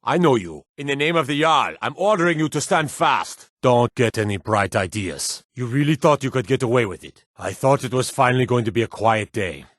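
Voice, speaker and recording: An actor playing a stern soldier of the town guard in a fantasy video game, with a clipped, martial delivery. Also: male voice, mid-range voice, expressive, fairly quick, few pauses, fairly bright tone, no room sound, studio quality. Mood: commanding. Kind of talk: video game character voice lines.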